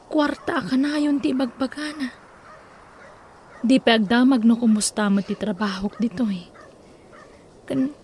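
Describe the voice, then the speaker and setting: A woman's voice: fairly high pitch, darkish tone, a little hiss or hum, lightly acted. A woman with a Filipino accent playing a meek, deferential member of a household in a radio drama.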